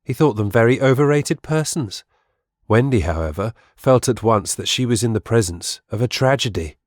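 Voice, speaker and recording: A man's voice: mid-range voice, expressive, normal speed, darkish tone, dry recording, studio quality. A man reading scripted storybook narration as the narrator of an audiobook.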